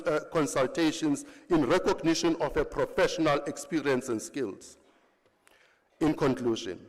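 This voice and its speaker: Man reading a citation in a reverberant hall. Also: a little high. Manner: halting, stumbles and restarts over names. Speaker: ceremony presenter reading a citation. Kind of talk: formal citation reading at a graduation. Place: large university great hall. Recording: long reverb of a large hall.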